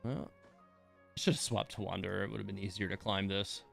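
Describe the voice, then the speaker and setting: A man's voice: fairly low pitch, level tone, brisk, creaky, a bit bright, faint music behind. A man giving casual livestream game commentary, rambling and muttering to himself as he plays.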